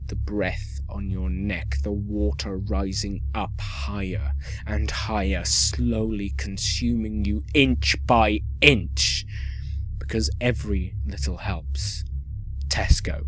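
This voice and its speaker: A man playing a cheerful yet sinister dystopian radio host, delivering scripted propaganda in a theatrical radio-play style. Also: lowish voice. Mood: hushed, creepy. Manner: theatrical, dramatic, swinging from grand proclamation to hushed menace. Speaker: radio announcer.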